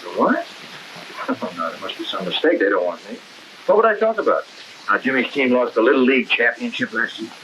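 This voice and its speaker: A man's voice: medium pitch, sing-song, brisk, a crowd in the background, close to an everyday voice, poor audio. An actor playing a bewildered, indignant American family man in a 1960s propaganda film, with plain, protesting speech. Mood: bewildered, apologetic. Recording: hissy 1960s film soundtrack.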